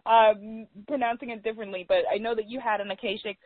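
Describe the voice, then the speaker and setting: A woman with a Guyanese American accent hosts an interview in a reflective way. She repeats words and slows down to stress a point. The sound is thin and phone-like, with no room echo. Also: a little high, fairly even intonation. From United States. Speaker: podcast host. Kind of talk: warm interview chat. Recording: tinny phone-like line, dry.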